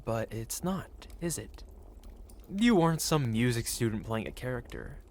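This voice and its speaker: A suave, wealthy dragon patron played by a man in a romantic fantasy audio roleplay. He speaks in courtly, flowery phrases at a medium male pitch, addressing one listener intimately, with a possessive streak beneath the polish. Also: animated, measured pace, a little mellow. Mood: admiring, intent.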